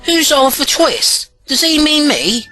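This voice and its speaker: A woman playing Charlie, naive youngster, very animated. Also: high-pitched, a little slow, faint music behind, heavily acted. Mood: curious, puzzled. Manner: naive, questioning. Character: Charlie, curious illiterate young companion from an earlier era. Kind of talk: audio drama acting.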